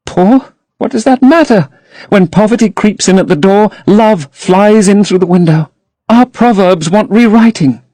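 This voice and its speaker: A man's voice: high-pitched, dark tone, no echo, pitched above the performer's own voice. A man reading aloud and voicing a young woman actress, dreamy and infatuated, who gushes rapturously. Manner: rapturous, gushing.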